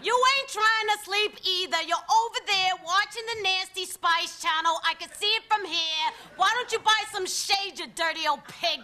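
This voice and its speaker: A woman's voice: very high voice, moderate pace, hardly any pauses, pushed and bright. An actress playing a feisty, suspicious working-class woman on parole in a radio play, with a streetwise New York accent and combative manner. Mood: scolding. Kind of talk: stage play performed for radio.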